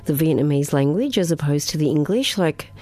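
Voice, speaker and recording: A woman co-hosting a radio show, chatting casually with quick laughs and restarts, who grew up speaking Greek at home. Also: sits low, lively intonation, mellow, dry recording. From Australia. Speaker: radio co-host and interviewer.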